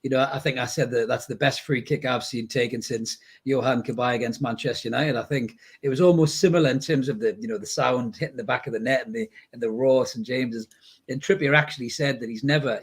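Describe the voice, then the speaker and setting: A man's voice: medium pitch, steady tone, fast, breathy edge. A man hosting a casual football podcast, chatting in a matey way with guests and commenters. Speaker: podcast host.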